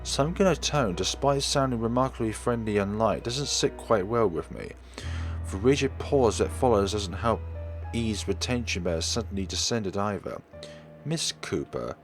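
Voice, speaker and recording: Guy with a lowish voice narrating a visual novel playthrough, bit halting, music behind him. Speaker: let's player reading a visual novel aloud. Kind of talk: expressive read-aloud of first-person story narration. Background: background music.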